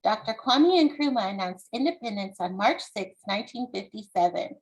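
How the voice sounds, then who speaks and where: A woman from the United States, an author reading her book aloud and explaining it to young listeners in a deliberate, encouraging, instructive way. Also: medium pitch, expressive, rough recording.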